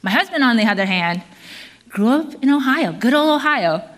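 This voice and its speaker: A middle-aged woman born in South Korea, preaching a sermon to a congregation in an earnest, measured way, with some fillers and restarts. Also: sits high, animated, fairly bright tone.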